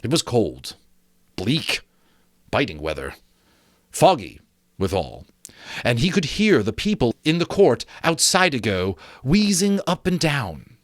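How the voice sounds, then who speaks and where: Theatrical male storyteller with animated delivery. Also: mid-range voice, no room sound, studio quality. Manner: theatrical, emphatic, with drawn-out words and dramatic pauses. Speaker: audiobook reader. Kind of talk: dramatic storybook narration.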